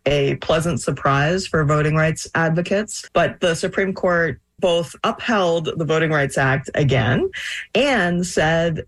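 A woman giving explanatory commentary as an expert interviewee. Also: low voice. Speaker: law professor, interviewee.

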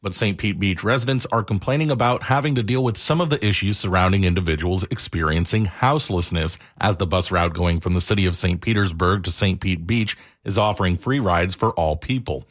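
A man's voice: low-pitched, brisk, few pauses. A man reading a scripted radio news report as a reporter.

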